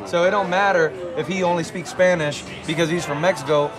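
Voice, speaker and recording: A man's voice: a little high, fairly even intonation, fairly quick. A man from South Louisiana, speaking bluntly and plainly to an interviewer outside a bar at night. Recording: outdoor field audio with bar noise. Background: music and crowd chatter.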